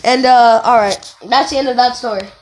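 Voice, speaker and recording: A woman with a high-pitched voice tells casual stories as a video creator. Her delivery is animated and digressive, doubling back to correct herself. There is some background noise with small clicks behind her. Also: moderate pace, rough recording. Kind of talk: casual rambling storytelling to viewers.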